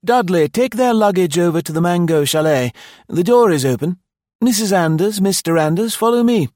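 Audiobook role: hearty British host, jaunty and clipped, voice lifted high above natural register. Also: male voice, animated, moderate pace, darkish tone, no echo, clean studio sound. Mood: brisk, hospitable. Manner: jaunty, effusively polite, clipped phrases. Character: cheerful, fussy, hearty British male guesthouse host. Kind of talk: audiobook character voice.

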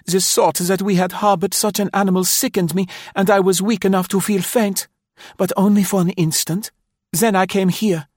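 A man's voice: sits high, steady tone, moderate pace, dry recording, studio quality, voice pushed up. A man voicing a panicked, pleading woman spy with dramatic, breathless delivery in an audiobook. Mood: anxious, breathless. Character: panicked, gasping French woman spy feigning remorse. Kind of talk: audiobook character acting.

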